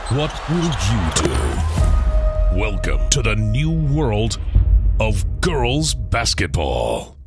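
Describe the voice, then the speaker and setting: Slow dramatic male promo voice. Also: lowish voice, big pitch swings. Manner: slow, sweeping announcer delivery. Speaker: promo narrator. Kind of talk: dramatic scripted voice-over. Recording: voice-over with loud music under it.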